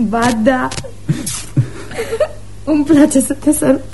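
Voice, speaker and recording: A woman's voice: high voice, sing-song, noisy background. An actress playing a playful, frank, impulsive schoolgirl of almost eighteen, in love, in a girlish, teasing radio theatre style. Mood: soft, confiding.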